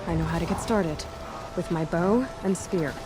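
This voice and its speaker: A woman's voice: on the low side, normal speed, music in the background, close to an everyday voice, low-quality recording. A woman playing a curious, determined huntress heroine in a video game, talking in a direct, dry way. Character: curious, resolute young huntress heroine with bow and spear. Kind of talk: naturalistic game dialogue acting.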